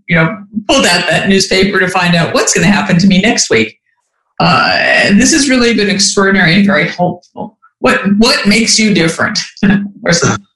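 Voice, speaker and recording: High, bright-voiced man in an echoey room. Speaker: co-host, interviewer. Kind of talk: conversational interview.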